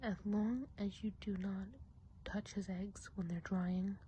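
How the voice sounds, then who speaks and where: A woman's voice: mid-range voice, flat delivery, a little slow, slight background noise, natural voice. A woman playing a whispering, gossipy woodland witch. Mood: fond, musing. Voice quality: soft breathy whisper. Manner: confiding, gossipy, asks the listener questions. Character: chatty gossipy woodland witch planning enchanted festival. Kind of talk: ASMR roleplay, whispered.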